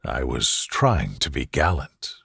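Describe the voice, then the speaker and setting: Man voicing a soft, contented gentleman husband. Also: a bass voice, very animated, slow pace, vocal fry, husky, no echo, studio quality, pitched below the performer's own voice, exaggerated voice. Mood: wry, fond. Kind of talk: sleep story character dialogue.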